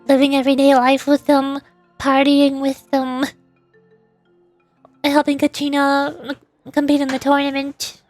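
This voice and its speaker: A woman streamer giving casual commentary on a game in a halting, wry, musing way. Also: high-pitched, monotone, a little mellow. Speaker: VTuber streamer. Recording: game music faintly behind.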